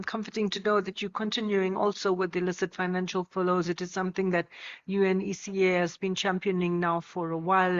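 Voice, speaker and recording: A woman moderating a policy roundtable, courteous and measured as she thanks speakers and passes the floor. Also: lowish voice, lo-fi sound.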